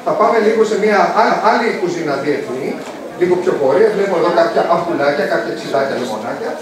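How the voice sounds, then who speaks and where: A Greek man presents a science show on stage, speaking very fast in a slightly high voice. He is heard from a distance in a very echoey hall, with an audience around him. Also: animated, crowd noise. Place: large hall with a live audience.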